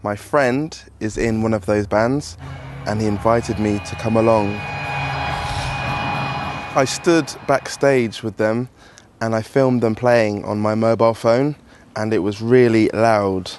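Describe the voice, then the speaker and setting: A man's voice: fairly low pitch, fairly even intonation, slow, very noisy. A man plainly narrating a personal anecdote as an interviewee.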